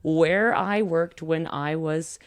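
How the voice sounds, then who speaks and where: A woman's voice: low voice, slow pace, no echo. Teasing woman host, dry close sound. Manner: teasing, playful, laughs often. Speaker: podcast host. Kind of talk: casual podcast interview.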